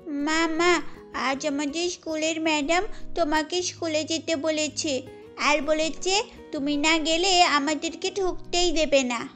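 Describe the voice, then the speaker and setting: A woman puts on a little cartoon schoolkid with a very high voice. The delivery is urgent and insistent, over soft music. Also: steady tone, unhurried. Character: young schoolchild passing on a teacher's message. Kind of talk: cartoon story dialogue.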